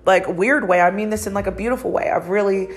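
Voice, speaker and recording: A woman's voice: medium pitch, quick pace. Chatty, swearing woman in her thirties. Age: 30s. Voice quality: slightly nasal. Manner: rambling, chatty, frequent swearing and laughs. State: stuffed-up nose from allergies. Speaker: podcast host and psychic medium. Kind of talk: casual solo podcast monologue.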